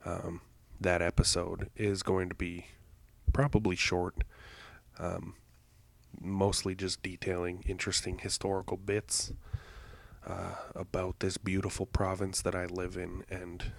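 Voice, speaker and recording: A man hosting a podcast, congested from allergies, rambling in a halting way with many fillers and self-corrections. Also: low voice, completely flat delivery, creaky. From Canada. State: congested, stuffed-up nose. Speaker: podcast host. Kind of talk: rambling solo intro.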